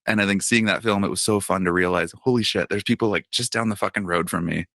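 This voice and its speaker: A man's voice: low voice, quick pace, vocal fry, a bit bright, dry recording, studio quality. A man co-hosting a podcast in casual chat, rambling with lots of fillers and restarts. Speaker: podcast co-host.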